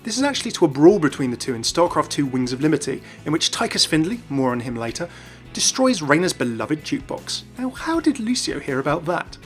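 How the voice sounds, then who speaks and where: Guy narrating a gaming vid, upbeat n lively, talks fast, music behind. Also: fairly high pitch. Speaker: gaming video host. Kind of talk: enthusiastic scripted narration.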